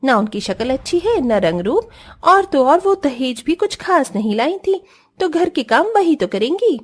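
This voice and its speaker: A spoiled young rich wife from a cartoon family drama, voiced by a woman. She is haughty and whiny, with a very high pitch that swings up and down as she complains and talks back. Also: sing-song, measured pace. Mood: scornful.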